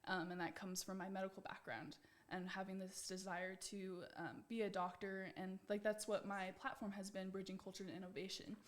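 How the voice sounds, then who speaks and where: A woman's voice: mid-range voice, flat delivery, brisk, few pauses. A young American woman giving conversational interview answers in an earnest, reflective way. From United States. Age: young adult. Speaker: pageant titleholder being interviewed.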